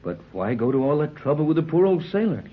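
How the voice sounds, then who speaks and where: A rough-edged, husky sea captain, hearty and reassuring. His speech swings expressively in the manner of an old radio adventure. The recording is thin and narrow-band. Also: on the high side, very animated, fast. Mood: puzzling it out. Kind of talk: old-time radio serial acting.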